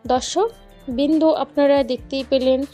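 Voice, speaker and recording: A woman's voice: high-pitched, steady tone, moderate pace, warm tone, highs cut off, soft background music, lightly acted. A woman playing a young female television news reporter in a dramatized audio story. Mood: formal, presenting.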